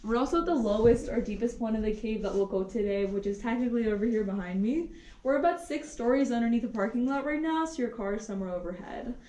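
A woman's voice: fairly high pitch, expressive, fast, warm tone. A woman leading a group through a cave, chatty and informative as she explains each room. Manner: chatty and informative, light laughs. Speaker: cave tour guide. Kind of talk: guided tour talk to a group. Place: inside a large cave. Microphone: camera held by a visitor some distance away. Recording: echoey cave, voice from far off.

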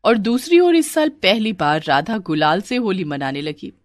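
Animated woman story narrator. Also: medium pitch, normal speed, dry recording, studio quality. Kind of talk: expressive scripted story narration.

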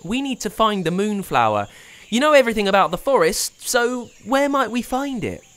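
A man's voice: sits high, sing-song, moderate pace, fairly bright tone, clear, resonant tone, pitched above the performer's own voice. A man voicing an eager young boy hero who exclaims urgently.

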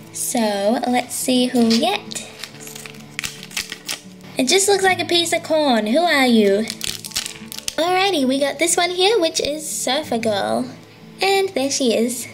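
A woman's voice: sits high, expressive, a little slow, soft background music. A young woman hosting a toy channel, chatting cheerfully through a casual unboxing. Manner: bubbly, chatty, cutesy.

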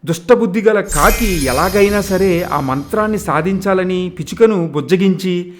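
A man's voice: high-pitched, normal speed. A man narrating a scripted moral tale as a storyteller. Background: music.

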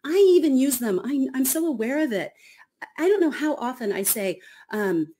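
A woman, an author speaking as a guest on a radio interview over a video call, animated and quick to laugh. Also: sits high, a little hiss or hum. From United States. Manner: animated, laughs often, acts out quotes.

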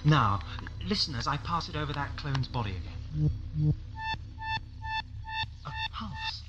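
A man playing a conscientious, quick-witted time-travelling adventurer in a science-fiction audio drama, with a wry manner. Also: a little high, huge pitch swings, muffled recording, loud music behind the voice. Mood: urgent, wry. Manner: wry, urgent.

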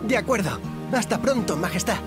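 A woman's voice: mid-range voice, lively intonation, fairly quick, lightly acted. A woman voicing an eager, brave young snowman boy in a video game, with a Castilian Spanish accent. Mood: eager, determined. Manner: exclamatory, eager. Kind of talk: video game cutscene acting. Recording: behind loud game music.

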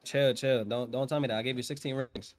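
A man's voice: medium pitch, steady tone, brisk, over a noisy crowd. A man in casual game voice chat, talking in heavy slang and boasting like a crew boss. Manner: slangy, boastful, says bro constantly. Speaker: fellow player, gang boss in the role-play.

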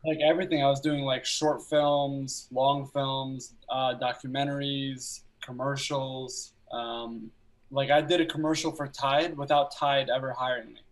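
A young man who grew up speaking Albanian, a filmmaker talking about his work as a guest on a video call, telling stories in a relaxed way with pauses to think. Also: mid-range voice. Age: young adult. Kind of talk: casual interview chat. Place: small room at home.

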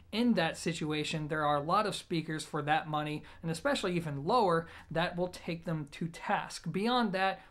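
A man's voice: on the high side, fairly bright tone. Man reviewing gear, conversational. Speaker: audio gear reviewer and host. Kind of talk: conversational product review to camera. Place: small indoor room, plain wall.

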